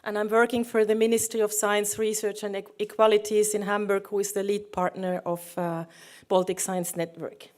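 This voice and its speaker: A middle-aged woman presents at a conference as a project manager. Her voice sits at an average pitch, and her delivery is halting, with frequent searching for the right word. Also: little pitch movement, darkish tone. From Finland.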